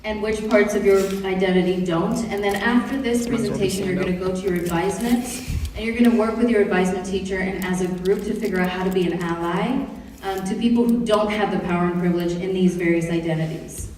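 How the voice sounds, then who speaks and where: A middle-aged woman from Kenya giving a lively classroom presentation to high school students, joking and asking the audience questions. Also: fast, slight background noise. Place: large room or hall. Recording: echoey hall, voice from far off.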